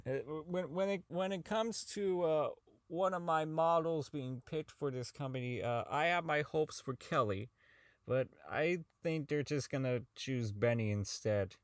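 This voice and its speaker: A man's voice: a little high, measured pace, dry recording, studio quality, natural voice. A man playing a socially inept, bitter company owner in an audio drama, rambling and stammering through his log as he slides toward madness. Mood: nervous, frazzled. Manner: stammering, rambling, self-loathing, foul-mouthed.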